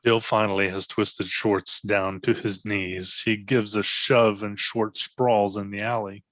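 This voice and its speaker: A man narrates scripted stage directions in the present tense. His voice sits fairly low. The sound is dry and a bit muffled. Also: little pitch movement, measured pace, a bit bright, no room sound.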